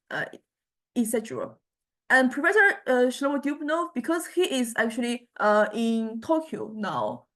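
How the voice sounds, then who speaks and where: Hesitant female lecturer, higher-pitched voice. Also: fairly bright tone. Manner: hesitant, halting phrasing with repeated words. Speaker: university lecturer. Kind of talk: informal online lecture, class announcements.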